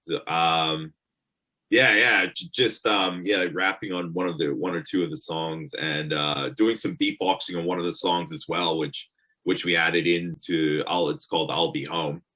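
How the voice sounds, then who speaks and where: A man in his thirties with a Canadian accent, speaking as a musician guest over a video call. His voice is low but bright and crisp, and he talks hesitantly, full of ums and uhs. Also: fairly even intonation, unhurried. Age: 30s. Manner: hesitant, many fillers.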